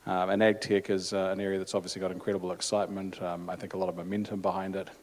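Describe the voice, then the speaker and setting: Man, new zealand accent, very low voice, flat delivery, measured panel talk. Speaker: venture capital panelist. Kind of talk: panel introduction. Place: conference hall stage.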